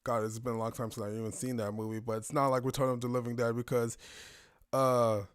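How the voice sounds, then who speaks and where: A man's voice: medium pitch, little pitch movement, fast, smooth. A man giving casual, spontaneous reaction commentary, musing and thinking aloud as he goes.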